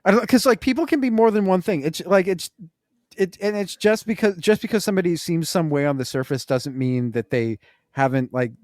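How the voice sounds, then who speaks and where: A man's voice: high voice, brisk, darkish tone, no room sound, studio quality. A man co-hosting a podcast, telling stories in a loose, conversational way with plenty of fillers and casual swearing. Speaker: podcast co-host.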